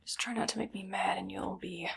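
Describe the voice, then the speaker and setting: A woman playing an evil, vain, paranoid doctor in an ASMR roleplay, speaking in a soft, hushed whisper with a calmly menacing manner. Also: medium pitch, fairly quick, slight background noise. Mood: coolly threatening. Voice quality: soft, whispery, with creaky fry.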